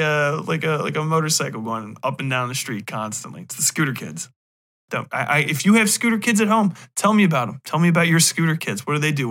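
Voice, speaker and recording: A man's voice: mid-range voice, bright, forceful tone. An American man hosting a podcast in a casual, comedic rant, digressing often, swearing and chuckling as he talks. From United States, Ohio. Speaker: podcast host.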